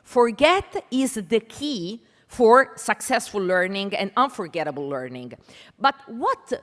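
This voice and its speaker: Female panel moderator, Italian accent, very expressive pitch, emphatic stage delivery, long hall reverb. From Italy. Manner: emphatic, deliberate, poses questions to listeners. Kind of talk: conference stage presentation addressing an audience. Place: large indoor conference hall.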